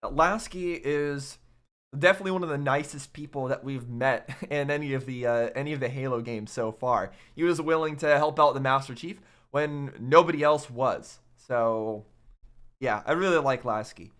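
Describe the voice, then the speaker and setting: A man's voice: mid-range voice, normal speed, a bit bright. A man giving casual, off-the-cuff reaction commentary as host, thinking aloud in a rambling way and repeating himself.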